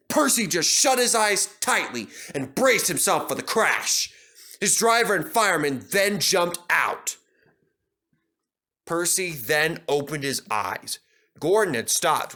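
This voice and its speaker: A man narrates a children's story with a bright, forceful tone. His reading is expressive and dramatic, swinging widely in pitch.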